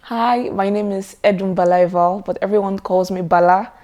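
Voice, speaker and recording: A woman's voice: medium pitch, fairly even intonation, moderate pace, mellow. A woman from Nigeria, telling her story with good humor in an interview. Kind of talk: casual interview answers.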